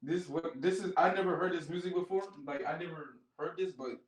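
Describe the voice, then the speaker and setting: A man's voice: a little high, fairly quick, husky, poor audio. A man from the United States in casual, slangy video-chat talk, often repeating his phrases. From Charleston, United States. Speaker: stranger on a random video chat.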